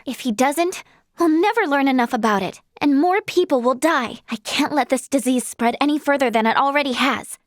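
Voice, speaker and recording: High-pitched, girlish young healer heroine played by a woman. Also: no room sound, studio quality, close to an everyday voice. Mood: solemn, pleading. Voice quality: girlish, youthful. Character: earnest, plucky, polite young female healer. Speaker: video game voice actress. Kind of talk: scripted game character dialogue.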